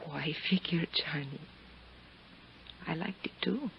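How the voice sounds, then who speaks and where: An actress playing a proud, volatile Italian countess in 1950s radio drama, haughty and formal in manner. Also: female voice, low-pitched, slow, creaky, slight background noise, exaggerated voice. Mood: soft, yielding. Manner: haughty, formal, guarded, flaring into outbursts. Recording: dull 1950s radio broadcast recording.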